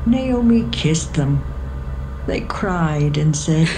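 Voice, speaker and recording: Slow, low-voiced woman narrator. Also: expressive, noisy background. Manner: measured storytelling. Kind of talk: scripted Bible narration. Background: music.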